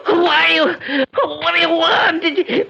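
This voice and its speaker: An actor playing a gullible, blustering colonial American bargain hunter with a folksy, stammering delivery, in old-time radio drama style. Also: male voice, very high voice, measured pace, creaky, full character voice. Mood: terrified, stammering. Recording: muffled radio drama recording, loud background noise.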